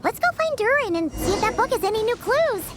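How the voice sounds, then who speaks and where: A woman voicing a tiny, childlike fairy companion in a video game, squeaky, excitable, cheeky and whiny, exclaiming a lot. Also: fast talker, loud music behind the voice. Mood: excited. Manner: speaks of self in third person, exclaiming.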